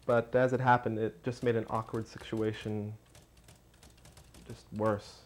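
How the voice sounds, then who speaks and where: A man playing a gentle, embarrassed figure, speaking in a measured, reflective monologue. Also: fairly low pitch, little pitch movement, close to an everyday voice.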